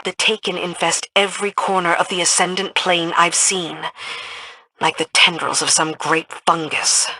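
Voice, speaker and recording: A dutiful military tactician reporting to her queen in a video game. She is composed, earnest and businesslike. Her voice is bright and clear-edged, recorded very dry. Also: fairly low pitch, little pitch movement, normal speed, no echo. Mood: focused.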